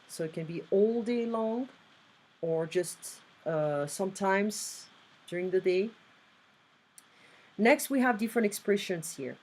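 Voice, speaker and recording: A woman's voice: fairly low pitch, darkish tone, a little hiss or hum, low-quality recording. A woman tutoring English one-on-one online, patiently explaining grammar and reading exercises aloud.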